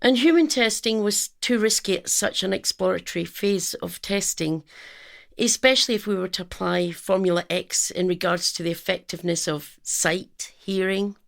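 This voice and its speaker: Woman playing earnest female scientist, clinical, measured, creaky voice, radio drama delivery. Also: mid-range voice, fairly even intonation, lightly acted. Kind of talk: audio drama acting.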